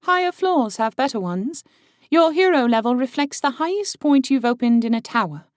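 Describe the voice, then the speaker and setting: A man's voice: animated, moderate pace, dry recording, clean studio sound. A man voicing a blunt young woman adventurer in an audiobook, explaining things patiently. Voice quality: high, light voice. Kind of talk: audiobook character voice.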